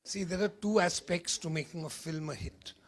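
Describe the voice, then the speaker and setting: A hoarse middle-aged man with an Indian accent, a film critic answering confidently at a press event. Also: sits high, steady tone, moderate pace. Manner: emphatic, confident predictions. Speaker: film critic and distribution executive.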